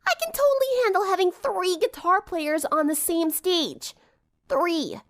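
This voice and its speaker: Casting: loud, boastful tomboy girl played by a woman, pitched well up, huffy and cocky, for audiobook drama. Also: expressive, measured pace, exaggerated voice. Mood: sarcastic, boastful.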